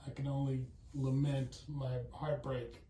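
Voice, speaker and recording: A podcast host chatting casually in a halting, conversational way. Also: medium pitch, little pitch movement, a crowd in the background. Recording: echoey room.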